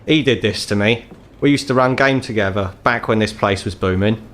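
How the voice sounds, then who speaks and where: A man plays a gruff, ageing troll who works as an underworld fixer in a tabletop game. He is British, blunt and foul-mouthed, and speaks like someone bitter and tired of living in the gutter. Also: lowish voice, moderate pace, fairly bright tone, pitched below the performer's own voice, natural voice. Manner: blunt, sweary, world-weary.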